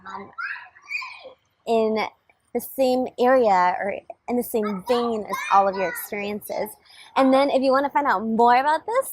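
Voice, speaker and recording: A woman's voice: on the high side, no room sound. A young woman giving a casual talk as a coach and summit host, chatty, with many fillers and restarts. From grew up between Germany, Taiwan, Shanghai, Hong Kong and California.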